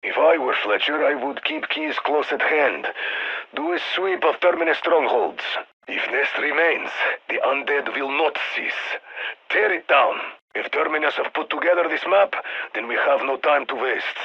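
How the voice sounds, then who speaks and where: A man's voice: on the high side, sing-song, normal speed, gravelly, slightly rough, muffled recording, heavily acted. A man playing a stern, dry-witted military commander with a Russian accent, giving clipped orders over a radio in a video game. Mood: instructing, dry. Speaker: squad handler on radio. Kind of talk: scripted game voice lines, mission briefing.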